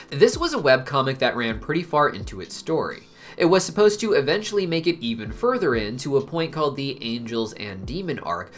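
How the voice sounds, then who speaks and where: A man's voice: medium pitch, a bit bright. A man hosting an explainer video, narrating from a script in an explanatory way with conversational asides. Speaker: host of an animation explainer channel. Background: background music.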